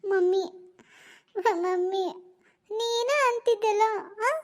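A woman's voice: very high-pitched, fairly even intonation, slow. A young woman with a North Karnataka Kannada accent, playing a drunk, heartbroken daughter who whines and pleads stubbornly in a family drama skit. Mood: pleading. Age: young adult.

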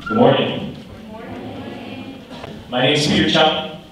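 A man's voice: fairly high pitch, wildly swooping pitch, slow, very noisy. A man giving an earnest motivational speech from an auditorium podium, building up to emphatic points. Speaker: guest speaker. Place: large school auditorium. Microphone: podium mic, recorded from the hall. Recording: echoey auditorium from far off, music under.

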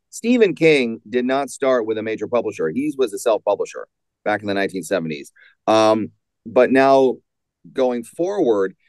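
Male author guest, somewhat deep, deliberate and emphatic in conversation, dry room. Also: a little mellow, no echo. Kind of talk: conversational interview answers.

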